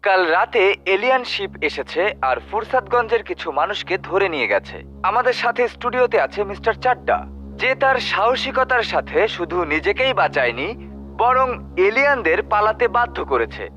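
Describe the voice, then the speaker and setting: Cartoon news anchor: bright, animated declaimer, dull lo-fi sound. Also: male voice, high-pitched, moderate pace, exaggerated voice. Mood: announcing. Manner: announcing, declamatory. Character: excitable male cartoon TV news presenter. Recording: dull lo-fi sound, faint music.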